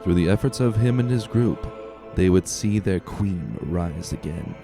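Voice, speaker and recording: A man's voice: low voice, animated, a little slow. A man giving a dramatic storybook narration as a scripted reader. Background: faint music.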